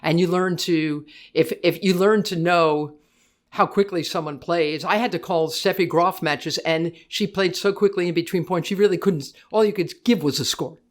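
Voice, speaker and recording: An older woman with a deep voice, a guest in a casual interview, talking fast in bursts, often restarting her sentences and laughing easily. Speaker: guest, tennis broadcaster.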